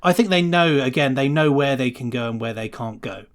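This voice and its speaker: A man's voice: medium pitch, expressive, a little fast. Chatty, enthusiastic man in casual conversation. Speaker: podcast guest, sound designer. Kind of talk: casual interview chat.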